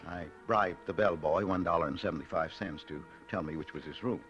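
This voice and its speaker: Shy nervous schemer husband, old radio drama, bright forceful edge but low voice, hesitant and mild. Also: male voice, fairly quick, highs cut off. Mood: sly, confiding. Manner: mild, hesitant, stammering, apologetic. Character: meek, shy, nervous middle-aged husband secretly plotting murder. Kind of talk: 1940s radio drama acting and narration. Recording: vintage radio recording with faint music.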